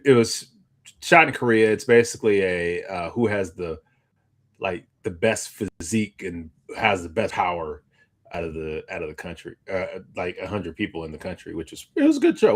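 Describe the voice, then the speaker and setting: A man with a somewhat low voice co-hosts a podcast. He speaks in a loose, informal chat style, as in everyday conversation. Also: very animated, moderate pace. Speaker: podcast co-host. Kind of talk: casual chat.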